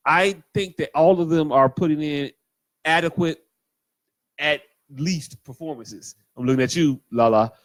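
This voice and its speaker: A man co-hosting a podcast in relaxed, profane banter. He riffs and jokes with animated energy, his voice sitting a little high. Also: unhurried, no room sound. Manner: animated, profane, riffing and joking. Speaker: podcast co-host. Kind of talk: casual chat.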